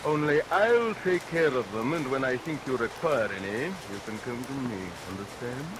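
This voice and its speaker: Man to play tyrannical demon barber: silky threats, Victorian melodrama, medium pitch, old radio under rain noise. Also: expressive, moderate pace, close to an everyday voice. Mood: oily, domineering. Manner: oily mock-politeness turning to sharp threats. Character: menacing, tyrannical villain barber and master.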